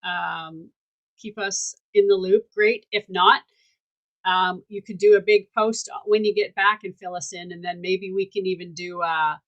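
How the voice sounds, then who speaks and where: A woman's voice: fairly low pitch, steady tone, unhurried, lo-fi sound. A woman hosting a casual online group call, talking in an affirming, spiritual way over a video call mic. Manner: warm, affirming, spiritual wording. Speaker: community call host.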